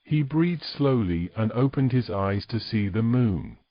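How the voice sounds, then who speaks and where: A man's voice: mid-range voice, expressive, a little slow, dry recording, dull audio. A man narrating a scripted story as the narrator of an audio drama.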